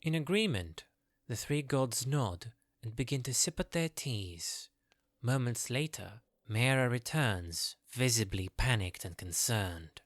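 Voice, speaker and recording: A man's voice: mid-range voice, animated, measured pace, no echo, studio quality. A man narrating a scripted fantasy audio drama in a dramatic storytelling style.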